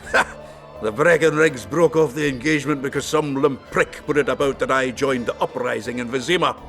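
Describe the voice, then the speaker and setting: An actor playing a gruff, crude but hearty dwarf warrior in a fantasy video game, blunt and salty. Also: male voice, mid-range voice, fairly quick, fairly bright tone, faint music behind, close to an everyday voice. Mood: indignant, storytelling. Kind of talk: cinematic fantasy game acting.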